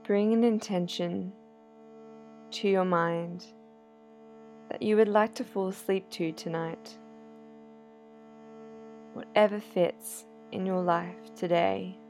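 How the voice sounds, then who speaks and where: A woman guiding a sleep meditation in a soothing, unhurried, gently instructive manner. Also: lowish voice, steady tone. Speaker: yoga nidra meditation guide. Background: soft sound healing instrumental music.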